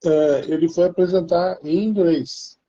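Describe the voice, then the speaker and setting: Brazilian man, very dark mellow voice, slightly high, rambling casual chat, dull lo-fi recording. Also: fairly even intonation, a little slow. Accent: Brazilian Portuguese. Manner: rambling, many restarts and fillers.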